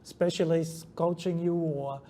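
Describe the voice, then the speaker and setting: A man's voice: on the high side, steady tone, unhurried, dark tone. A middle-aged German-born man with a New Zealand accent, a scientist guest answering interview questions hesitantly, with many ums and restarts. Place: conference venue. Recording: faint background noise at a conference.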